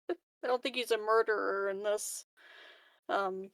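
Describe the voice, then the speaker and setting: A woman's voice: medium pitch, fairly even intonation, slow, a bit bright, no echo. A woman chatting casually as a player in a tabletop roleplaying game.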